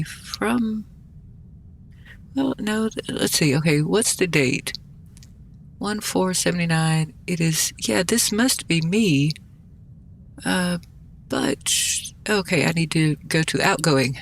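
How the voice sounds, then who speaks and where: A gaming host with a creaky, fry-laden voice talks casually through a puzzle. The delivery rambles and mutters with gentle self-mockery, over a faint bed of noise. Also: mid-range voice. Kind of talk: casual gameplay commentary, thinking aloud.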